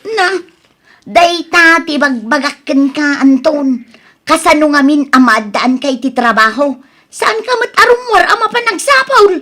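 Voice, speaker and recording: A woman's voice: sits high, animated, unhurried, bright, forceful tone, full character voice. A woman acting a nagging, pleading village wife and mother short of money in an Ilocano comedy radio drama, with an Ilocano accent. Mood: nagging, exasperated.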